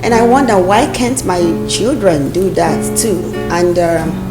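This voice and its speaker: A woman in her thirties, an interview guest, speaking in an earnest, measured and reflective way. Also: fairly low pitch, lively intonation, over loud music, poor audio. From Nigeria. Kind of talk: conversational interview.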